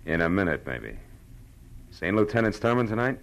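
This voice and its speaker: Deep-voiced hard-boiled American private eye. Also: male voice, sing-song, moderate pace, creaky, slight background noise, exaggerated voice. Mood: wry, confident. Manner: terse, sardonic. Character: hard-boiled, wisecracking private eye, man, cool and tough. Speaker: narrator and lead actor in radio detective series. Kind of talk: 1940s radio acting, first-person noir narration. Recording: vintage radio drama with music underneath.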